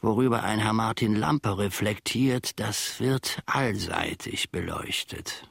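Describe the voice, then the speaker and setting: An actor playing an aggrieved, self-important old manservant in a German radio play, grumbling and ruminating pompously in a gravelly, husky voice. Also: male voice, lowish voice, slow, fairly bright tone, no room sound, full character voice. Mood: musing.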